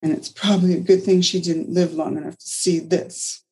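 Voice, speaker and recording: A woman's voice: fairly low pitch, level tone, normal speed, dark tone, voice pushed up, lightly acted, poor audio. A woman voicing a distraught young mother who is bitter and defensive.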